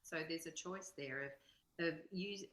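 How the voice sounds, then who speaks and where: Female discussion partner: low, bright voice, reflective and tentative, roomy echoing sound. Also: measured pace.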